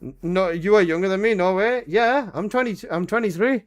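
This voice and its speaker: A man's voice: high-pitched, a little fast. A man in his twenties streaming a game, chatting casually with his chat and bantering with lots of swearing and laughter. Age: 20s.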